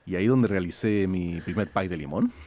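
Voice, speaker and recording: A man, a chef and food scientist, talking. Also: fairly quick. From Chile. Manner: lively storyteller, rises in pitch when quoting. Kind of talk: conversational interview. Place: outdoor garden.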